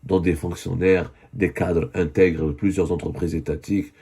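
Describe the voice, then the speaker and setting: A man with a voice hoarse from a cold, giving an earnest spoken news commentary and often correcting himself. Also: low-pitched, fairly even intonation, moderate pace, mellow. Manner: earnest, with many self-corrections.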